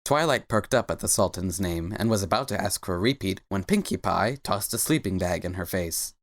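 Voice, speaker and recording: Low-voiced man narrating a story. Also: animated, a little fast, dry recording. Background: faint music.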